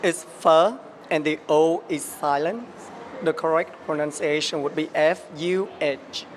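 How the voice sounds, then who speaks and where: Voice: medium pitch, measured pace. Interview guest from Vietnam, noisy background. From Vietnam, later United States. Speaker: interview guest, restaurant owner. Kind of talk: casual interview. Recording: noisy location with traffic ambience.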